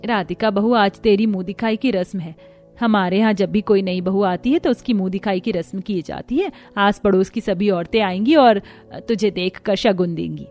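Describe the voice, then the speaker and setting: A woman's voice: mid-range voice, normal speed, darkish tone, soft background music, close to an everyday voice. A woman voicing an eager, doting middle-aged mother-in-law from a humble family in a cartoon story, with a homely, fussing manner. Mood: fond. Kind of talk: Hindi cartoon story voice acting.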